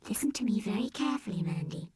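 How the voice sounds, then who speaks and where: A woman voicing a loyal male robot teddy bear who talks softly in a breathy, whispery voice. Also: mid-range voice, expressive, moderate pace, background noise, voice pushed up, lightly acted. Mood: soft conspiratorial.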